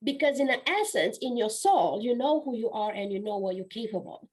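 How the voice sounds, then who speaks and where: A Romanian-accented woman in her forties talks through an interview in English, her second language. Her voice sits a little on the high side. She sounds earnest and reflective, often stopping to restart a phrase. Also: sing-song, a little fast, darkish tone, husky. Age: 40s. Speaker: guest speaker and coach. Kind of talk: conversational interview.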